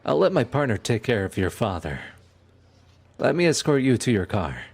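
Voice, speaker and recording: A man's voice: mid-range voice, sing-song, normal speed, creaky, slightly rough, heavily acted. A man playing a courteous, businesslike police detective in an audio roleplay.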